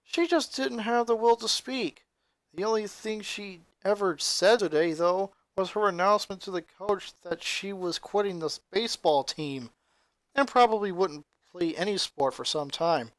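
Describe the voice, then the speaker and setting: A narrator reading scripted fan fiction aloud in an expressive storytelling style. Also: medium pitch, normal speed, dry recording.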